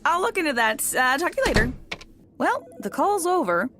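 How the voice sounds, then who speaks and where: An actress playing a sensible, matter-of-fact account director in an office audio sitcom. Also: female voice, sits high, very animated, moderate pace, over music. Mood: businesslike. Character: businesslike, sensible female ad agency account director.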